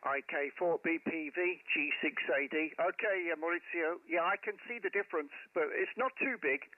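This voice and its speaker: Guy hosting a ham radio stream, higher voice, friendly n chatty, close dry headset sound. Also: moderate pace, dry recording, phone-quality sound. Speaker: amateur radio operator, live stream host. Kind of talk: casual radio contact chat with callsigns in phonetic alphabet.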